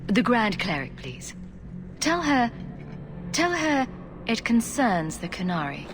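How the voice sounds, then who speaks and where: Tactful, expressive adventurer woman. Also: on the high side, slow, noisy background. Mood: calm probing. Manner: tactful, composed, gently questioning. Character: diplomatic female adventurer, calm, probing and courteous. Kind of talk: video game cutscene acting.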